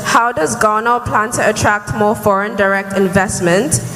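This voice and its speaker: A woman's voice: medium pitch, moderate pace, fairly bright tone, soft background music. An eighteen-year-old woman putting a prepared question from the audience at a public meeting. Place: large hall at public meeting. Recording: reverberant hall, faint noise.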